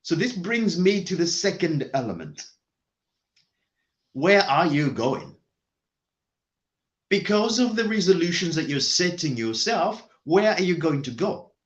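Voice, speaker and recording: A man delivers a motivational talk in a high, animated voice that swings up and down as he drives each point home. He leans on repetition and says key lines twice. The recording sounds dull and lo-fi. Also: measured pace, long pauses. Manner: emphatic, repeats key phrases. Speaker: motivational speaker.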